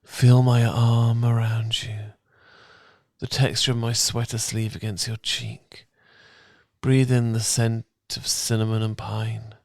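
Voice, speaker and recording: A British man performing soothing, intimate ASMR as a loving boyfriend, speaking softly and gently, at times near a whisper. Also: mid-range voice, no room sound. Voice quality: soft, breathy, gravelly, partly whispered. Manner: gentle, calming, intimate, unhurried. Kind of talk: romantic ASMR, soothing guided meditation. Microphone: close, dry ASMR miking.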